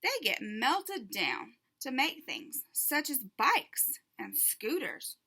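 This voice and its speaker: A woman's voice: sits high, wildly swooping pitch, takes it slowly, sharp tone, husky, full character voice. A woman voicing a patient male schoolteacher in a children's storybook, explaining things in an emphatic, instructive way. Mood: explaining, enthusiastic.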